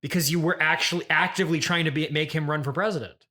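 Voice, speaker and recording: A male host of an improvised comedy interview. His voice is clearly bright and a bit higher than average for a man. He phrases things tentatively, often hedging and apologizing as he steers the conversation. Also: fairly even intonation, fast. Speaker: podcast host.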